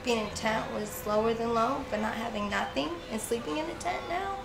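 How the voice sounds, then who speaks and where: Young woman, high voice with vocal fry, emotional rambling storytelling, casual swearing, echoey indoor space. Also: a little fast, over music. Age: 20s. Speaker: street interview guest. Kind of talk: candid personal storytelling. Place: indoors in a fast-food restaurant seating area.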